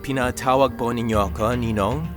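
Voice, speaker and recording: An actor playing a young male CEO disguised as a janitor, who talks in a joking, lively way, in a radio drama. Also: mid-range voice, moderate pace, over loud music, lightly acted.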